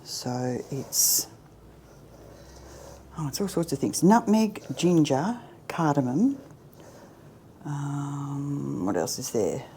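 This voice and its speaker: Low, mellow-voiced woman chatting while baking. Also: lively intonation, slow pace, long pauses, a little hiss or hum. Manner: chatty and self-deprecating, often trailing off into a soft near-whisper. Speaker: home cook presenting a baking tutorial. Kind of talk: casual cooking demonstration. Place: home kitchen.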